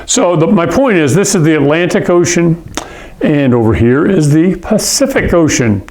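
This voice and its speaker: A man's voice: on the high side, lively intonation, normal speed, darkish tone, low-quality recording. A man from Hawaii teaching a science lesson, explaining patiently and often checking in with "okay?" and "all right?". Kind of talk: classroom lecture.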